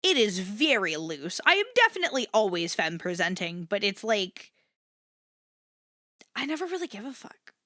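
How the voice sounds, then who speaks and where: A woman livestreamer chatting casually and candidly to her viewers, swearing freely and repeating herself for emphasis, in a versatile but slightly rough voice. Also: very animated, normal speed, pushed and bright, dry recording, studio quality. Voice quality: versatile voice, swinging between low and high. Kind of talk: casual rambling chat to an audience.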